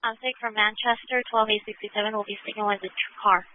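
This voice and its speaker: A woman's voice: mid-range voice, steady tone, fast, dry recording. A woman working as a police radio dispatcher, relaying traffic in a clipped, procedural way over the radio. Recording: thin phone-like police radio channel.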